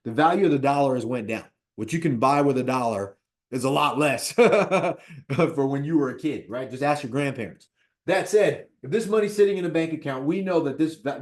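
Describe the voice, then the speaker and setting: A man of fifty talking casually about personal finance as an investor, animated, repeating points and checking in with "right?". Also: medium pitch. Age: 50s. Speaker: real estate investor.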